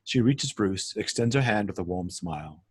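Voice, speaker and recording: Husky, lowish male narrator. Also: expressive, normal speed, no room sound, clean studio sound. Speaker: stage-direction narrator. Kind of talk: scripted narration at a table read.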